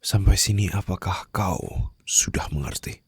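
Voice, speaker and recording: A man playing a menacing, condescending mafia boss in an ASMR roleplay, with a gravelly, breathy voice that often drops toward a whisper. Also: low-pitched, dry recording, heavily acted. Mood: menacing, low. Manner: slow, taunting, controlled threats. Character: menacing, condescending young male mafia boss holding a captive.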